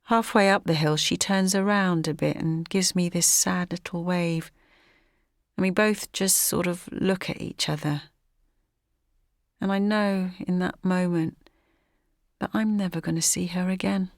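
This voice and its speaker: A woman's voice: fairly low pitch, steady tone, a little slow, no room sound, clean studio sound. An actress playing a wistful, reflective woman from small-town Essex, delivering a first-person audio drama monologue. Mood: wistful, detached.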